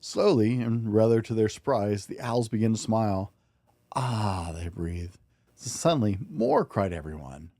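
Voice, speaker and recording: Mellow, low-voiced man reading a children's story. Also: moderate pace, dry recording. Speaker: podcast host and storybook reader. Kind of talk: expressive read-aloud of a children's picture book.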